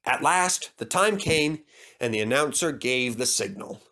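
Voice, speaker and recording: A man narrating a children's storybook with slow, expressive storytelling. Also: mid-range voice. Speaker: storybook reader.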